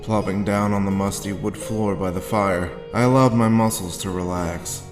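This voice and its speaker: An actor playing a grim, haunted war veteran, telling his story deliberately in a noir audio drama. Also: on the low side, steady tone, over music, lightly acted. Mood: somber, haunted. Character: haunted hard-boiled male war veteran recounting his grim past.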